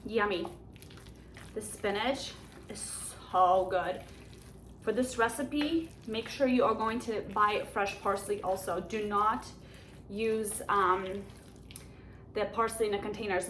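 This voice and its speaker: A woman with a Polish accent hosting a home cooking show, giving chatty, enthusiastic step-by-step instructions in her kitchen. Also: mid-range voice. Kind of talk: cooking demonstration. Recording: echoey kitchen with background noise.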